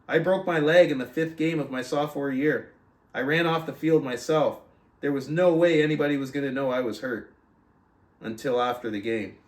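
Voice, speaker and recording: Male book club host and reader, slightly high voice, very roomy sound. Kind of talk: reading a book aloud with casual commentary. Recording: roomy echo, distant from mic.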